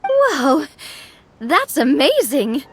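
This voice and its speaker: A woman's voice: high-pitched, big pitch swings, very slow, fairly bright tone, no room sound, heavily acted. A woman voicing a cheerful, friendly, optimistic young adventurer in a video game. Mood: bright, curious. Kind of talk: video game character dialogue.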